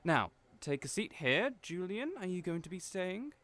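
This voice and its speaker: A man's voice: high-pitched, very animated, moderate pace, fairly bright tone, voice pushed up. A man putting on a flamboyant, teasing hairstylist. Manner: fussy, playful, mothering. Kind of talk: audio roleplay acting.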